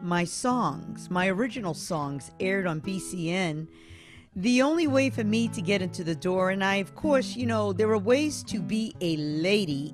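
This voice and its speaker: A woman in her sixties hosting a casual interview on a video call, chatty and curious, telling stories. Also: medium pitch. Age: 60s. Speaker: host.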